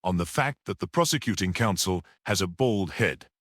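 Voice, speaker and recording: Storybook priest-detective, read by a man: mild, perceptive, unruffled, low-pitched with lively movement, dry close sound. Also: no echo. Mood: calm, assured. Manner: grave, measured, unruffled, almost dreamy.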